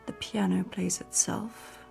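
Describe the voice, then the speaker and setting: A woman's voice: medium pitch, level tone, slow pace, vocal fry, mellow, faint music behind. A woman playing a long-suffering, politely guarded society wife in a radio drama, with an arch, understated manner. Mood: resigned. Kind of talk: classic radio drama acting.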